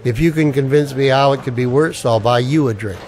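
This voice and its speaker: A man's voice: medium pitch, fairly quick, darkish tone, natural voice. An actor playing a wry, wary ex-soldier down on his luck, with dry, sardonic banter in a radio play. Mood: wry, resigned. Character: wry, wary unemployed ex-Ranger, expectant father. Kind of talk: radio play dialogue in homage to old radio dramas.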